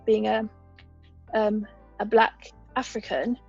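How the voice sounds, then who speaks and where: Husky-voiced Ugandan-born woman explaining. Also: mid-range voice, little pitch movement, slow pace, a little mellow, highs cut off, faint music behind. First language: a Ugandan language. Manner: thoughtful, explanatory, lays out examples. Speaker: podcast guest. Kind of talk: conversational interview.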